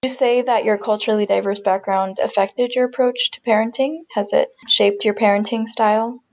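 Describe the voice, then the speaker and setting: A woman's voice: on the high side, fairly even intonation, a little fast. Curious, friendly woman interviewer. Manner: friendly and curious, laughs easily. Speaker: podcast host and interviewer. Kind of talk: casual interview questions.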